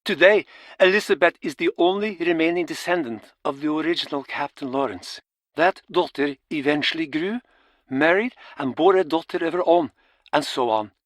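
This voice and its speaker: An actor as a dramatic, higher-voiced male storyteller. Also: fairly bright tone, no echo, lightly acted. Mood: solemn, dramatic. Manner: measured, dramatic, solemn. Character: male storyteller solemnly recounting a town's old legend.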